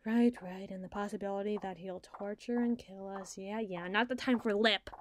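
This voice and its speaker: A woman acting a sardonic, fast-thinking, bossy secret agent in an ASMR roleplay audio drama, wordy and wry. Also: mid-range voice, fairly even intonation, a little hiss or hum, natural voice. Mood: hushed, conspiratorial. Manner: wry, wordy, teasing, flustered when challenged.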